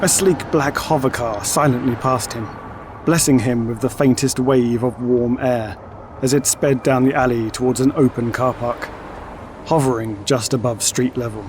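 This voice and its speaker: A man giving scripted, dramatic narration for an audio story in a measured way. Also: medium pitch, fairly even intonation, background noise. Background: music and sound effects.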